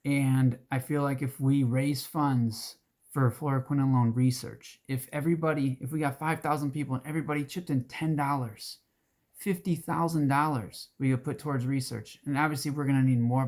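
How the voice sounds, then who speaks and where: Male, hoarse and raspy, earnest, rambling advocacy organizer, mid-range pitch. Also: a little mellow. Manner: earnest, rambling with restarts and fillers. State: hoarse, throat-clearing. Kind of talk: casual direct-to-camera talk.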